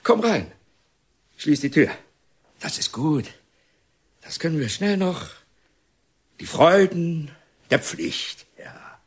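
Excitable paternal institution director, radio drama, pompous, husky, very animated pitch. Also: male voice, exaggerated voice. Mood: jovial, lecturing. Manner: declamatory, pompous, halting. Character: excitable, theatrical male reformatory director, paternal and long-winded. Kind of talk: German radio drama acting.

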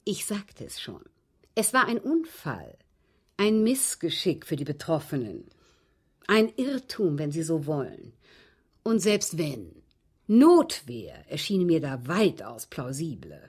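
Genteel, breathy old widow, slyly composed. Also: female voice, low voice, wildly swooping pitch, full character voice. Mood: calmly evasive. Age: older. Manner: precise, ladylike, unhurried, evasive. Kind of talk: German radio drama acting.

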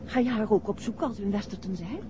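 An actress playing a detective's sharp, inquisitive wife, playful and spirited, in 1960s radio drama style. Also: female voice, medium pitch, fast talker, lightly acted. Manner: curious, spirited. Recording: dull, noisy 1960s radio recording.

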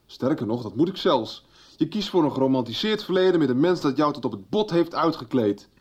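A man's voice: a little high, lively intonation, fairly quick, darkish tone, slightly rough. An actor playing a blunt, sarcastic young man arguing with a friend.

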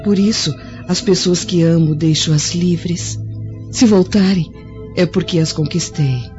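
A woman acting a gentle, frail young blind Roman noblewoman in a radio play, with a soft, dark-toned voice and Brazilian accent. She speaks tenderly and earnestly over music, in dull audio. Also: fairly low pitch, measured pace, close to an everyday voice. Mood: gentle, resolute.

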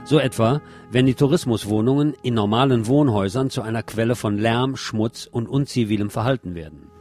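A man's voice: mid-range voice, a little fast, dark tone, soft background music. A man presenting radio news, reading from a script in a narrated style.

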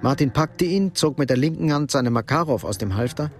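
A man playing the sober narrator of a crime radio drama, telling the story in a measured, matter-of-fact way. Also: medium pitch, lively intonation, faint music behind, close to an everyday voice.

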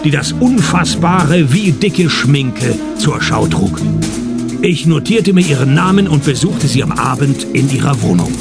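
An actor playing a laconic, cynical private detective in a radio play, narrating and questioning with deadpan sarcasm. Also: male voice, fairly high pitch, a little slow. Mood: sardonic narration. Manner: deadpan, wry. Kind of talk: hard-boiled radio play narration and dialogue. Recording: loud music behind the voice.

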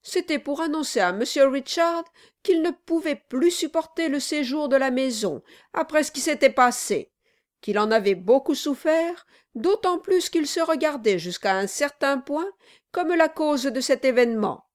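Woman acting out a storybook lawyer, male, cowed, fawning, deferential, high-pitched. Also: expressive, moderate pace. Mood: anxiously deferential. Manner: obsequious, deferential, pleading. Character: servile, flattering male lawyer cowed by his patron. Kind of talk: dramatized audiobook reading.

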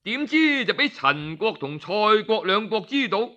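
A man with a Cantonese accent narrating a traditional story in a colloquial, expressive storytelling style. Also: high-pitched, normal speed. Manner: colloquial, expressive, with sighs and exclamations. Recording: slightly dull, lo-fi audio.

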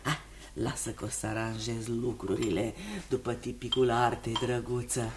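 An actress playing a sly, chummy old opium den keeper in a radio play, wheedling with folksy endearments and prodding questions. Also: female voice, extremely low pitch, fairly even intonation, noisy background. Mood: sly, soothing. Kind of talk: radio theatre acting.

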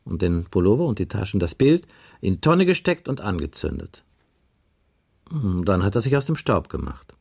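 Police inspector, radio crime drama, husky, animated intonation, blunt, sardonic, world-weary, deliberate. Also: male voice, low-pitched, heavily acted. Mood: sombre. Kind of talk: 1980s German radio crime drama acting.

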